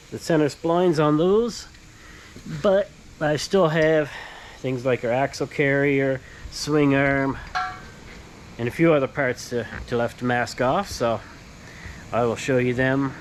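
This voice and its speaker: A man hosting a casual workshop vlog, explaining hands-on work step by step in plain words. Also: mid-range voice. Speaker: host, powder coating tradesman. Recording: noisy workshop background.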